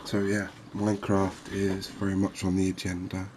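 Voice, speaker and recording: A soft-spoken man giving unhurried, soothing ASMR commentary over a video game. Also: low voice, completely flat delivery, slight background noise. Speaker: gaming video host.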